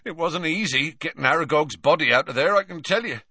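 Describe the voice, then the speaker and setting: A man voicing a huge, soft-hearted male groundskeeper, tearful and choked up, speaking in a folksy way, raised above his own voice. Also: on the high side, lively intonation, fairly quick, husky, no echo, clean studio sound, pitched above the performer's own voice, exaggerated voice.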